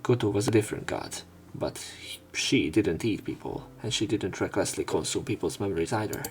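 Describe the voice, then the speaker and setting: A man reading visual novel text aloud as a let's play narrator, in measured short phrases. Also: sits low, lively intonation, a little hiss or hum. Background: game music.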